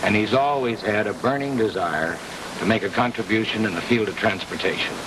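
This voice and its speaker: American exec in his 40s, lowish voice, measured, away from the mic, noisy old tv recording. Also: far from the mic. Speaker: company president being interviewed. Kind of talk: television interview answers.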